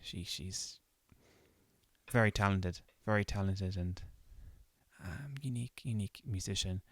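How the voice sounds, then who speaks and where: A man with an Irish accent hosting a podcast in casual chat, relaxed and often repeating his words. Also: low voice, measured pace, no room sound. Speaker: podcast host.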